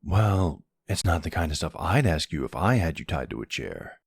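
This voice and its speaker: A man playing a flirty, cocky vampire with a gravelly, husky voice, teasing the listener in an intimate ASMR roleplay. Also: low voice, very animated, normal speed, dry recording, studio quality, full character voice. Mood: mocking, flirtatious. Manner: teasing, sardonic drawl.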